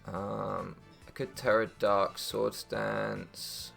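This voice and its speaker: A man's voice: lowish voice, little pitch movement, very slow, vocal fry, a bit bright, faint music behind. A young man doing casual strategy game commentary, thinking aloud and deliberating as he goes, with hesitations. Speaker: gaming YouTuber.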